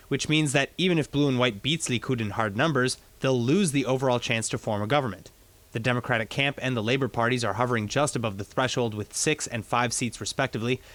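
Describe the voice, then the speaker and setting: A man's voice: mid-range voice, fairly bright tone. Man anchoring a studio news show. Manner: brisk, reads scripted copy then chats loosely with guests. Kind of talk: news reading and studio interview.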